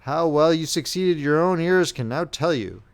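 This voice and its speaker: A man's voice: on the high side, expressive, normal speed, creaky, smooth, pitched above the performer's own voice. A man doing a stiff scornful general.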